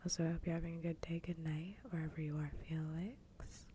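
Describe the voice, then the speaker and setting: A woman livestream host chatting casually in a soft, breathy whisper for ASMR, gentle and unhurried, close to the microphone. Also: low voice, little pitch movement, slight background noise.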